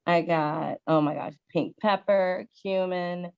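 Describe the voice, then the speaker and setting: A cheerful, happy-go-lucky woman casually pitching her products as a guest seller. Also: fairly even intonation, slow pace, a little mellow, smooth, lo-fi sound. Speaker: guest vendor of essential oils.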